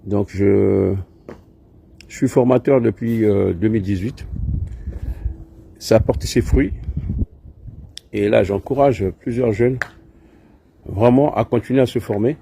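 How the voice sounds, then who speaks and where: A man's voice: fairly low pitch, a little slow, vocal fry, pauses often, mellow. A man, an IT trainer, giving an informal promotional address in hesitant, repetitive phrases. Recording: faint background noise.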